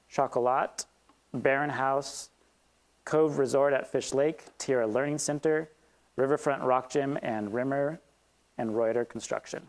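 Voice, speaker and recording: A man hosting a community event, reading a prepared statement, then introducing the speaker with a few ums. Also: mid-range voice. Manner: reads steadily, then loosens with ums. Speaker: event host. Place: event hall with audience.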